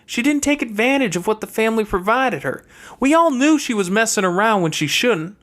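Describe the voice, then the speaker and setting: Reader voicing an airy, high-pitched, haughty young girl. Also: expressive, fairly quick, fairly bright tone, lightly acted. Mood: cool, pointed. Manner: airy, pointed, disdainful. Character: Fernanda, calculating, airy, haughty young girl of wealthy family.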